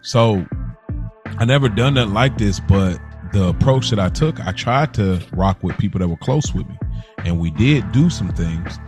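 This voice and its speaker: American man chatting casually over clear music. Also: lowish voice, expressive, normal speed. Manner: slangy, conversational. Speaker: podcast co-host. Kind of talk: casual chat. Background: music under the talk.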